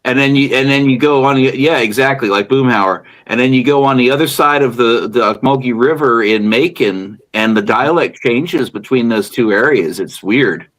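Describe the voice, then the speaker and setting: A man from the United States chatting casually across a table. He rambles, stacks false starts and circles back to finish his thoughts. His voice sits at an everyday middle pitch. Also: moderate pace, few pauses, fairly bright tone. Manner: rambling, restarts phrases. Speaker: beer show co-host. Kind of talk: casual chat.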